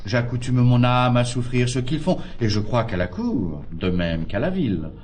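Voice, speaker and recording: An actor playing a tolerant, philosophical friend who urges moderation, speaking classical French verse in a measured, articulate way. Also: male voice, mid-range voice, expressive, darkish tone, natural voice. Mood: calm, philosophical.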